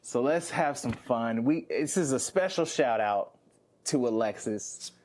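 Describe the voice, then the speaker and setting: A man's voice: mid-range voice, lively intonation, unhurried, darkish tone. A young man co-hosting a podcast, chatting casually, swearing freely and goofing around with frequent laughter. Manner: profane, goofy, laughs often. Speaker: podcast co-host.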